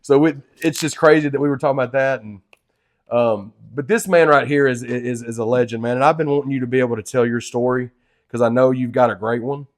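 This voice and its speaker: Guy hosting his interview show, mid pitch, chatty and hyped, says man a lot. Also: steady tone, brisk. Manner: chatty, enthusiastic, loose fillers like "man".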